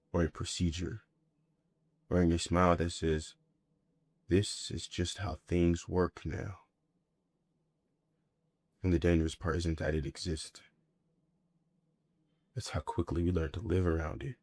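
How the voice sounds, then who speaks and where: Deep-voiced man delivering a reflective monologue. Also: darkish tone, slightly rough, dry recording. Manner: measured, deliberate, reflective, with short weighted phrases. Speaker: monologue performer. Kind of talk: scripted spoken-word monologue.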